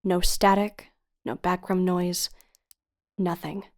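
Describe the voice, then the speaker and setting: A woman's voice: on the low side, steady tone, slow. Woman acting anxious, insistent woman in audio drama. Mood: grave narration. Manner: urgent, questioning, emotionally tense. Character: worried, insistent young woman on a tense phone call, narrating her story. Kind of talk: audio drama acting with first-person narration.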